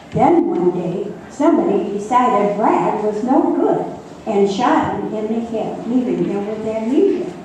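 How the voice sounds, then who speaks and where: An older woman playing a regretful mother in an amateur radio play, with a halting, deliberate delivery. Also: medium pitch, mellow, natural voice. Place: echoey room at a senior center. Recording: very echoey, distant mic, faint noise.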